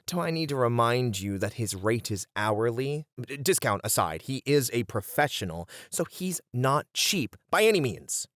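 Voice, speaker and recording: A man's voice: mid-range voice, sing-song, a little slow, a bit bright, no echo. A man playing a strict, exasperated band manager in a scripted roleplay audio drama, with dry, chiding banter. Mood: defensive. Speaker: voice actor.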